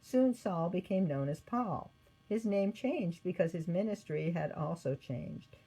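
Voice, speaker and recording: Female storybook narrator: lowish voice, soft-edged and a little husky, expressive scripted read. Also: a little slow, poor audio. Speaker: storybook reader.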